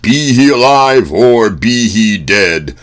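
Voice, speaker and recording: Huge roaring storybook giant. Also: male voice, sing-song. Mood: menacing, roaring. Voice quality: roaring, booming. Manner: slow, drawn-out menacing chant. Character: huge scary roaring man-eating male giant. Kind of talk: storybook read-aloud.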